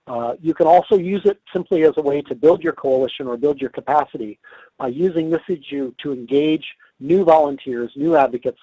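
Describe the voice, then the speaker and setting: A man's voice: mid-range voice, a little mellow, husky, dry recording, low-quality recording. A man losing his voice, giving a webinar talk and answering questions in a conversational way with many fillers and restarts. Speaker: tobacco control advocate presenter.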